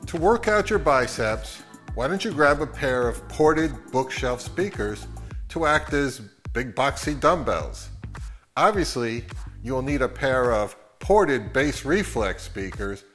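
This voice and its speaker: A middle-aged man presents a scripted how-to in a measured, deadpan delivery. His voice sits at an average pitch. Music plays faintly behind him as he talks in an indoor room. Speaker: host of a hobby audio channel. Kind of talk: scripted narration to camera, tongue-in-cheek instructional.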